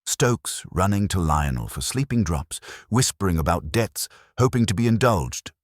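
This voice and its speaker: A man voicing a proud, scornful woman schemer in a mystery story, speaking in a cold, sharp, deliberate way. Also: low-pitched, big pitch swings, dry recording, clean studio sound, voice pushed up. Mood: sharp, bitter.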